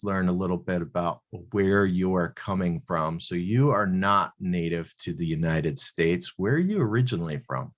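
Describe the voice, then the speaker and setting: A middle-aged man hosting a podcast over a video call, asking steady, friendly questions. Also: low voice. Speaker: podcast host. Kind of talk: conversational interview. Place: home office.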